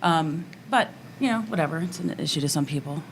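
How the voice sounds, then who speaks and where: Low-pitched woman chatting on a panel. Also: expressive, a little fast. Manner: informal, breaks into small laughs. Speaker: documentary filmmaker. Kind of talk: casual roundtable talk. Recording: live panel with background room noise.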